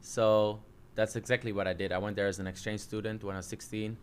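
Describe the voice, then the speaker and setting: A Dutch man in his thirties, his English carrying a Dutch accent and his voice low and smooth. He tells his story in a relaxed, chatty way, full of fillers and restarts, like a coach at ease in an interview. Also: fast. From Netherlands. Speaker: jiu-jitsu coach and interview guest.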